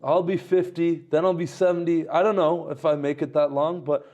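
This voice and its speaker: A man's voice: fairly high pitch, moderate pace, muffled recording. A man in his late twenties, hosting a podcast and talking casually and energetically with many "like"s. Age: 20s. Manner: energetic, loose, heavy use of "like". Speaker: podcast host. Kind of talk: casual conversation.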